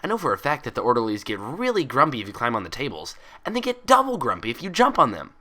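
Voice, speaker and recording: A man's voice: medium pitch, huge pitch swings, fast talker, pushed and bright, pitched above the performer's own voice. A man voicing a hyper, cheerful, gullible young female cartoon pony in bubbly, rambling, childlike chatter.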